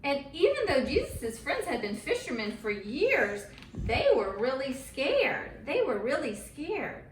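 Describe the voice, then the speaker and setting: Woman, children's Bible teacher, fairly high pitch, encouraging, dramatic storytelling emphasis, roomy distant recording. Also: very animated, a little slow. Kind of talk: animated storytelling and chat with children. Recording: roomy, distant mic, faint noise.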